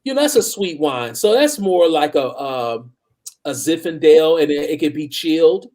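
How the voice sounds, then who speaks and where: A man's voice: a little high, lively intonation, normal speed, darkish tone. An American man in his forties chatting casually as the wine expert guest on a panel show. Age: 40s.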